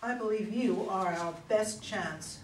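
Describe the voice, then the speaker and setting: A woman playing a confident, smooth-talking young attorney general in an amateur play reading, poised and self-assured. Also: mid-range voice, unhurried, a little mellow, close to an everyday voice. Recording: distant, echoey room, faint noise.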